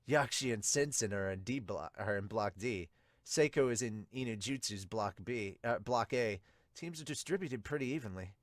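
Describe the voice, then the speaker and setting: A man hosting a podcast, reading aloud and reacting casually with excitable exclamations. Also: fairly low pitch, moderate pace, dry recording, clean studio sound. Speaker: podcast host and reviewer. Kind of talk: manga chapter dub reading and casual reaction commentary.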